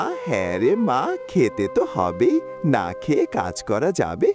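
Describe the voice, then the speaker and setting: A man's voice: on the low side, big pitch swings, a little slow, music in the background. Guy doing a weary woodcutter dad in a cartoon, swings all over, fusses and mutters. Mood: fond, hurried. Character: devoted, weary widowed woodcutter father, anxious at night. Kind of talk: cartoon voice acting.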